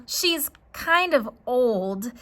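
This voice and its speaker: Woman as a high-voiced, plaintive farm girl. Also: expressive, very slow, fairly bright tone, slight background noise, full character voice. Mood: glum, hesitant. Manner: plaintive, hesitant. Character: young farm girl, earnest, anxious and doubtful.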